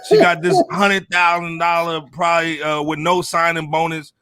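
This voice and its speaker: A man's voice: a little high, flat delivery, moderate pace, pushed and bright. An American man hosting a casual hip-hop podcast, steering the chat with slang and joking. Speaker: podcast host steering the discussion.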